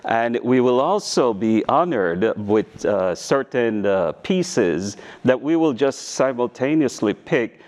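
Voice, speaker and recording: A man's voice: medium pitch, expressive, slow pace, hardly any pauses, darkish tone. A middle-aged man from Belize interviewing a guest onstage before an audience, speaking graciously and with emphasis. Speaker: interviewer, university provost.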